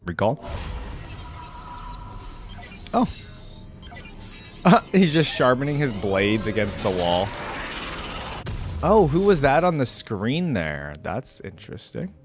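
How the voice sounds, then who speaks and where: A man's voice: mid-range voice, very animated, unhurried, pauses often, music in the background. A man giving casual, off-the-cuff reaction commentary as a video host, thinking aloud in an informal, exclamatory way.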